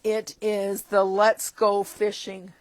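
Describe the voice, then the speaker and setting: A woman's voice: mid-range voice, completely flat delivery, slow, dry recording. A woman demonstrating stamping supplies in a casual walkthrough of a catalog, chatty with chuckling asides, and losing her voice. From Canada. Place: tabletop craft desk indoors.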